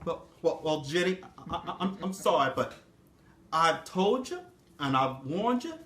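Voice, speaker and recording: A man's voice: on the high side, sing-song, a little slow, a bit bright. A man voicing an anguished plantation master who pleads and stammers, told in an echoey room. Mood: pleading.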